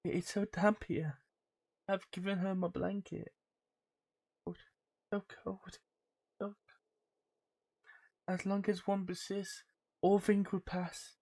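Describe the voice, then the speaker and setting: Weary, suffering diarist acted out by a woman, hushed and faltering dramatic reading of game text. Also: mid-range voice, little pitch movement, slow, pauses often. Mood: weary, despairing. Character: failing, despairing diary writer, weary, suffering, losing her grip.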